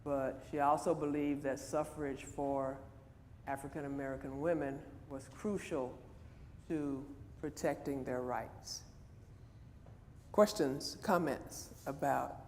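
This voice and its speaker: A woman giving an informal public presentation, speaking haltingly and repeating phrases as she takes questions. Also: low-pitched, darkish tone. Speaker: presenter of a history talk. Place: large hall at a church program. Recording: echoey hall, faint noise.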